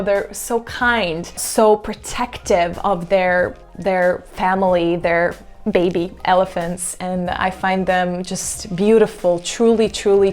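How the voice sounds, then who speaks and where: A woman in her twenties from Latvia, answering get-to-know-me questions in a chatty, reflective way and laughing at herself now and then. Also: medium pitch. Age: 20s. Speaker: YouTuber. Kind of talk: casual get-to-know-me vlog.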